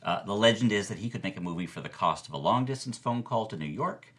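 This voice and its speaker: An actor as a gossipy film-history expert who relishes scandal. His lowish voice swoops up and down as he spins theatrical tales in an interview. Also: animated, quick pace, a bit bright. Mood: gleeful, scandalized. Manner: theatrical, asks and answers own questions. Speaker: expert guest. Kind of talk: animated storytelling in a podcast interview.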